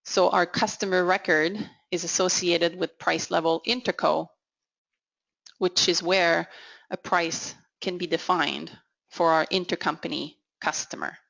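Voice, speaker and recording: A woman giving an instructional software walkthrough as a consultant, in a measured, explanatory way. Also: fairly low pitch, steady tone, gravelly. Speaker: technical consultant presenting a software demo. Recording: dull, lo-fi audio. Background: voice-over for a screen recording.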